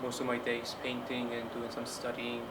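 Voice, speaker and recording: Young man, even-toned. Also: mid-range voice. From Colombia. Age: 20s. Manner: halting, speaks in short phrases. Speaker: Franciscan friar and painting student. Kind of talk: interview monologue, personal reflection. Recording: noticeable background noise, ambience.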